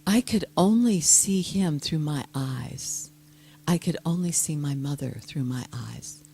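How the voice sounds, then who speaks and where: An older woman, a guest speaker giving a soft-spoken, gentle and reflective personal talk to an audience. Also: low-pitched, lively intonation, unhurried, slight background noise.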